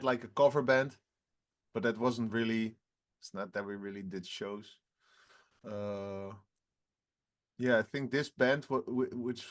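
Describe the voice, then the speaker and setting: A singer in his twenties answering interview questions in a relaxed, halting way, often laughing between phrases. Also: on the low side. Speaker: rock band singer, guest.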